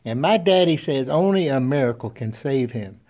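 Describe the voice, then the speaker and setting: A man's voice: mid-range voice, animated, moderate pace, darkish tone, close to an everyday voice. A man voicing a small, earnest little girl who pleads and stammers. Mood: pleading.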